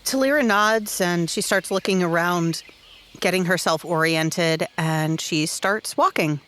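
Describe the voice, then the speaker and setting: A woman's voice: lowish voice, measured pace, a bit bright. A woman playing a tabletop game, narrating her character's actions in the third person. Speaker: player.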